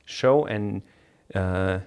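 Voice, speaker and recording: A man's voice: sits low, very slow, darkish tone, smooth. A man from Poland, a native Polish speaker, a comedian co-hosting a podcast, telling a story hesitantly with lots of restarts. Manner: hesitant, restarts, plain phrasing.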